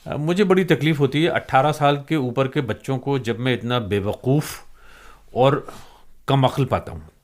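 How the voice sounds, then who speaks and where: A male interviewer speaks in a medium-pitched voice. He comments conversationally but firmly, building up his points with repeated, emphatic phrases. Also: moderate pace. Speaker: interviewer, host.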